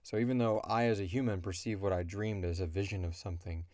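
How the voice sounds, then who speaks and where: A man with a fairly low voice hosts a casual podcast. He talks in a rambling, explanatory way, and the recording is dry with little room sound. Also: fairly even intonation, fast, darkish tone, no room sound. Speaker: podcast host and show creator answering listener questions.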